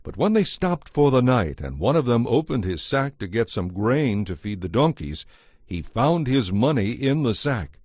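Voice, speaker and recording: A man's voice: mid-range voice, very animated, moderate pace, a little mellow, no room sound, clean studio sound. A man reading scripted narration as the narrator of a dramatized audio Bible.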